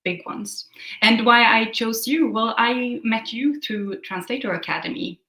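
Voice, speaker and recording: A young woman from Sweden, a Swedish native speaker, talking hesitantly with many pauses and fillers as a guest on a video call. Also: on the high side, pushed and bright, roomy sound, rough recording. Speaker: guest, new translator.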